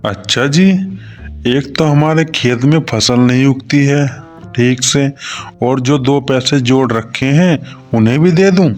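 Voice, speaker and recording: A man's voice: medium pitch, measured pace, lightly acted. A man voicing a poor village farmer and husband in a moral story cartoon. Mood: reluctant. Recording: music under the voice.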